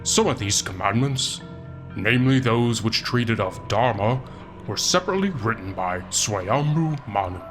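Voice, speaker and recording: A man voicing a sardonic, foul-mouthed Satan who reads an old book aloud in a theatrical, deliberate way with wry asides, as comic narration. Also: fairly low pitch, expressive, music in the background, close to an everyday voice. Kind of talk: comic audiobook-style narration.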